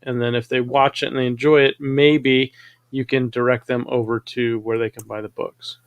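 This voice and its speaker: A man hosting a casual live show, reading viewer comments aloud and responding in a relaxed, conversational way. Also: medium pitch, normal speed, smooth, dull audio. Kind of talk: casual livestream chat.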